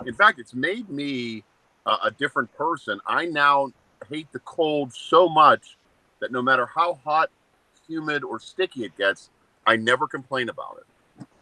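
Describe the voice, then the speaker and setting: A man in his seventies co-hosting a casual talk show, joking bluntly and ranting in short, flat statements. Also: mid-range voice, unhurried, dry recording, poor audio. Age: 70s. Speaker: co-host. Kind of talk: casual chat.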